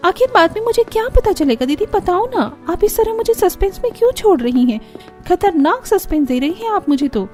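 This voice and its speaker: A woman's voice: extremely high pitch, big pitch swings, fairly quick, darkish tone, faint music behind, voice pushed up. A woman voicing a curious, eager young daughter-in-law in a cartoon story, puzzled and full of questions. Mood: pleading, curious.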